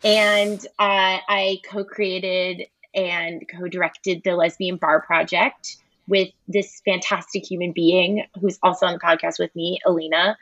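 Low, dry-voiced American woman. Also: flat delivery, a little slow, fairly bright tone, no room sound, muffled recording. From United States. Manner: chatty, playful, many ums. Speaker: podcast guest, filmmaker. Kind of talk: casual chat.

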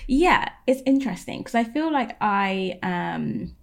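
A woman in her thirties, a podcast guest, speaking candidly and thinking aloud with frequent pauses and fillers. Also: medium pitch, animated, vocal fry. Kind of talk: casual interview chat.

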